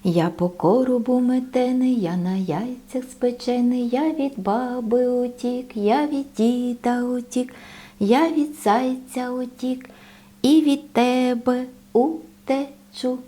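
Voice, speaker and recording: A cheeky little male bread roll from a children's storybook, acted out by a woman. The voice is high and soft-edged, childlike and boastful. It chants in a slow, drawn-out sing-song. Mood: boastful chanting.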